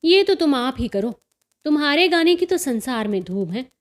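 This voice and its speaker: A woman's voice: high-pitched, very animated, moderate pace. A woman voicing a cheerful, teasing young sister-in-law in a story, with melodious, coaxing banter. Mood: playful coaxing.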